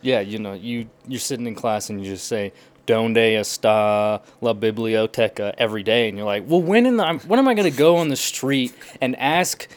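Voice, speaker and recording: A young man appearing as a podcast guest, explaining in an animated way and acting out little quotes as he goes. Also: fairly low pitch, moderate pace. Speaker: podcast guest, language learner. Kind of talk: casual podcast chat.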